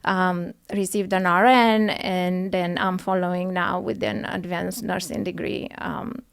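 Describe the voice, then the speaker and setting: A woman, answering interview questions in a soft, hesitant way with many pauses and ums. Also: lowish voice, steady tone, vocal fry, smooth. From Romania. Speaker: podcast guest, nurse. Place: studio.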